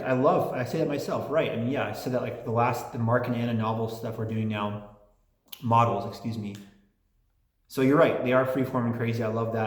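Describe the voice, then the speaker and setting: Guy rambling through fan mail on his channel, chatty, lots of restarts, kinda roomy echo. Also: medium pitch. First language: English and Polish. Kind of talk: casual chat, reading listener letters.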